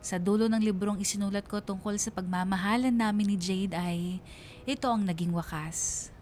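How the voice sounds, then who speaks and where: A woman's voice: mid-range voice, normal speed, a little mellow, close to an everyday voice. A woman playing a reflective, bereaved young woman narrating her own love story in a radio drama. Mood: sad, reflective. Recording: music behind the voice.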